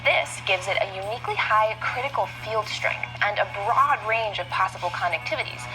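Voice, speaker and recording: A woman's voice: mid-range voice, expressive, a little fast, rough recording. A woman reading a scripted science explainer in a polished, upbeat way. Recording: clear music bed underneath.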